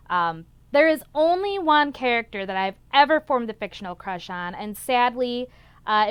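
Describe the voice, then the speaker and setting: A young woman gives a casual, opinionated book chat. Her voice sits fairly high and is exceptionally clean and smooth. The sound is dry and close, with little room around it. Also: a bit bright, dry recording. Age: young adult. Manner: candid, opinionated. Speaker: book reviewer and video host.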